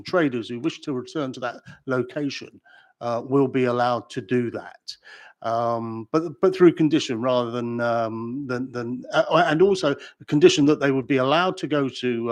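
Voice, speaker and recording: A man with a British accent, a councillor at a planning meeting, asking long questions with many pauses, fillers and restarts. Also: medium pitch. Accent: British English.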